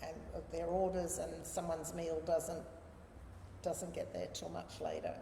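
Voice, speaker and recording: A woman's voice: low voice, flat delivery, a little slow, vocal fry, dark tone. Australian woman in a very echoey room. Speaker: interview guest. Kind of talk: casual conversational interview. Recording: echoey reverberant room with background noise.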